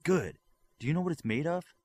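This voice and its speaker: Man voicing an earnest superhero, animated pitch. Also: a little fast. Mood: matter-of-fact.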